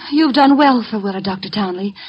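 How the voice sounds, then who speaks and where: An actress playing a proud, high-tempered young woman in a 1950s radio drama, speaking in a cool, commanding manner. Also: a little fast, husky, close to an everyday voice. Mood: angry, threatening. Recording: muffled vintage radio recording.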